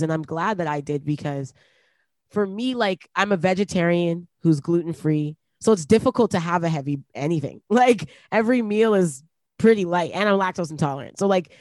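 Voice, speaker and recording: A woman's voice: lowish voice, lively intonation, a little fast, a little mellow, no echo. A woman in her late twenties, a rapper from Canada, talking reflectively in a casual interview, with many "like" fillers and easy laughter. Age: late 20s. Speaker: rapper guest.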